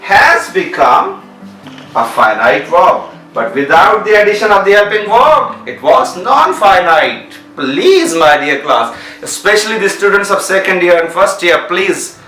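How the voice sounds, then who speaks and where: Animated Pakistani English teacher, echoey room. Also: male voice, on the high side, recorded from a distance. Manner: repetitive, checks understanding with questions. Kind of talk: classroom grammar lecture.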